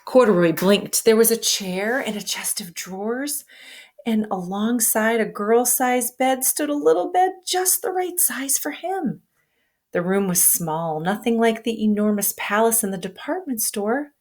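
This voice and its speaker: A woman reading a children's picture book aloud as a teacher, expressive and pausing to ask the listener questions. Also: fairly high pitch.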